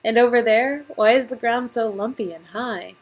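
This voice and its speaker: A woman's voice: fairly high pitch, moderate pace, lightly acted. A woman reading the part of a starry-eyed, earnest young would-be actress in dialogue from a visual novel game. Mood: teasing.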